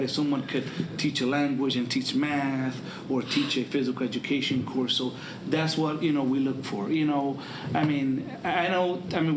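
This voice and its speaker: A man speaking as a school administrator in a public meeting, haltingly, often repeating words and phrases. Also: a little high. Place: large meeting room. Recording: echoey room with clear background noise.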